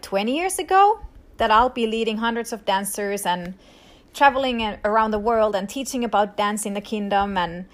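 A woman in her thirties from Finland with a Finnish accent, a podcast host telling her faith story in a chatty way, with many fillers and light laughs. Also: a little high. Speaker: podcast host, dance minister. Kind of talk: casual personal testimony.

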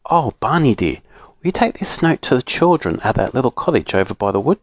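A sweet storybook fairy, voiced by a man, coaxing and feminine. Her lines lilt with lively pitch, recorded close and dry. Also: a little fast, no room sound.

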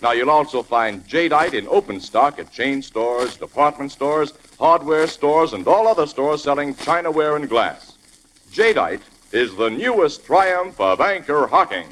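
Lively vintage male radio announcer. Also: unhurried, low-quality recording. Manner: polished, emphatic sales pitch. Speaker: commercial announcer. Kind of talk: 1940s radio advertising read. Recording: old-time radio recording with faint noise.

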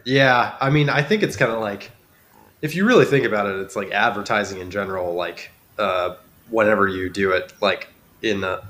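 A man's voice: on the low side, normal speed, fairly bright tone. A man with a nasal, congested voice from a cold, chatting casually and dryly as a podcast guest. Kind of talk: casual chat. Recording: clearly noisy background.

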